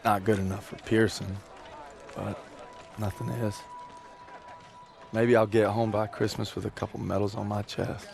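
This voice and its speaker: A gravelly laid-back soldier. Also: male voice, fairly low pitch, level tone, unhurried, pauses often. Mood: hopeful. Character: easygoing male soldier, steady older brother. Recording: with loud music underneath.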